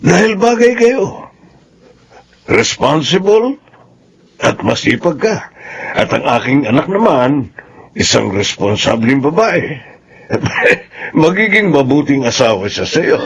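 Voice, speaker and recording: An actor playing an older, wealthy boss and doting father in a Filipino radio drama, deliberate and fatherly in manner. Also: on the high side, very animated, slightly rough, heavily acted. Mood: persuasive.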